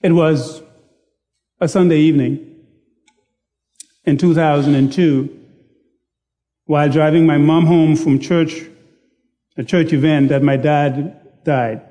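Male preacher, warm and dark in tone, deliberate and self-deprecating. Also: fairly high pitch, steady tone. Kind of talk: sermon. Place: church sanctuary.

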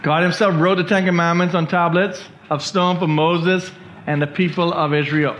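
A man's voice: a little high, steady tone, normal speed, fairly bright tone, slight background noise. A man from the Bahamas, teaching a church Bible study and often checking in with his listeners. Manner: keeps checking in with listeners, "all right?". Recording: dull lo-fi recording.

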